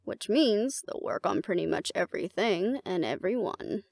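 A woman playing a battle-seasoned, fond and teasing mate in a roleplay audio drama, talking casually and intimately with a gravelly creak and hesitant pauses. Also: mid-range voice, sing-song, darkish tone, dry recording, clean studio sound. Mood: casual, explaining.

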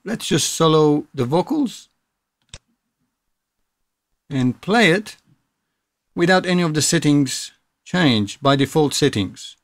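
A man in his thirties with a fairly high voice talks through a tutorial in a casual, explanatory way. The sound is dry and close, as in a small room. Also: expressive, slow pace, long pauses, dry recording. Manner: explanatory, step-by-step. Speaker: tutorial presenter.

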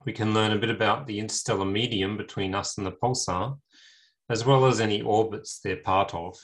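A middle-aged man lecturing in an informal technical tutorial over a video call, explaining things step by step with pauses. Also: lowish voice, steady tone, rough recording. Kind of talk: informal technical tutorial narrating slides and screen demo.

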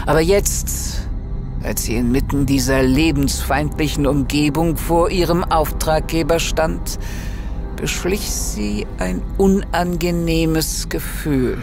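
A man's voice: on the high side, steady tone, slow pace, gravelly. A man narrating a scripted German horror radio drama in the third person. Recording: studio narration over prominent music.

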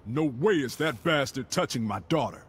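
An actor playing a gruff, protective military father in a fighting game, blunt and indignant. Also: mid-range voice, lively intonation, moderate pace. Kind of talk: video game fighting banter.

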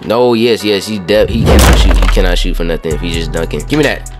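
A teenage boy with a deep voice giving casual, slangy gameplay commentary, rambling and often asking if you feel him. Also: fast talker, over loud music. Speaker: gaming YouTuber.

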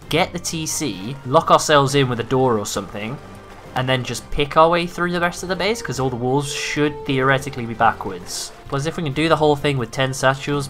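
A man giving casual gameplay commentary, his voice a little raspy from hours of talking, excitable and swearing freely. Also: mid-range voice. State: slightly raspy from long talking. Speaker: gaming YouTuber.

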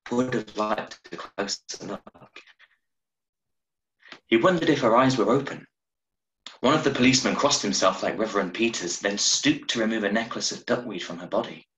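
A man in his thirties giving a measured, expressive reading of a short story over a video call. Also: fairly low pitch, a little hiss or hum. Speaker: story reader. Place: small room at home, on a video call. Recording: roomy, echoey video-call sound.

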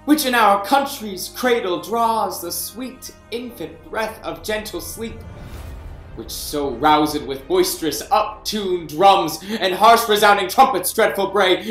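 A proud young king who measures out every line of verse slowly. The delivery is regal and stately. It is picked up by a webcam in a room with noticeable echo. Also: sits high, pushed and bright, heavily acted. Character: proud young male king passing judgment. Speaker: actor. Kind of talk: staged Shakespeare reading. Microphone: webcam on a video call. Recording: echoey room.

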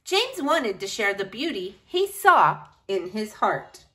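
Animated woman reading a children's picture book. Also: mid-range voice, takes it slowly. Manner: animated, addresses young listeners directly. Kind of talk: expressive picture-book read-aloud for children.

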